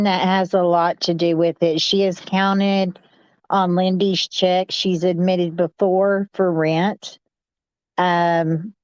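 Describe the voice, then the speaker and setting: A woman's voice: mid-range voice, no echo. A woman on a talk panel reading viewer comments and giving her opinions. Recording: narrow phone-like audio.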